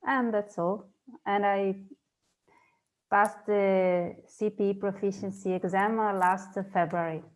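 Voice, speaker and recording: A woman's voice: mid-range voice, steady tone, slow pace, low-quality recording. A woman from Italy who teaches middle school, introducing herself briefly and hesitantly. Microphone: video call.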